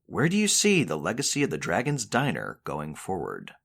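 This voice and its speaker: Man acting as husky, very animated news reporter. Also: deep voice, normal speed, exaggerated voice. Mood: narrating, intrigued. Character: earnest, cowardly male news reporter. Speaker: reporter narrator. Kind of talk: mock news documentary narration.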